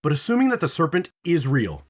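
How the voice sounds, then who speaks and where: A man narrating a scripted video essay in a dramatic, expressive storytelling manner, with casual swearing. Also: fairly high pitch, fairly quick. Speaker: video host, reviewer.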